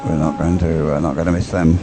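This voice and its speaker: A man's voice: rumbling low, level tone, a little fast, over music. A man giving unscripted live sports commentary in a hesitant, rambling, stop-start way.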